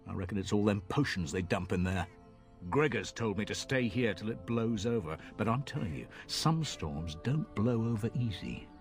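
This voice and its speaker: A man's voice: lowish voice, lively intonation, normal speed, faint music behind. An actor playing a plain-spoken, folksy commoner ferryman in a fantasy video game, chatting in rustic, colloquial speech. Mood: wary.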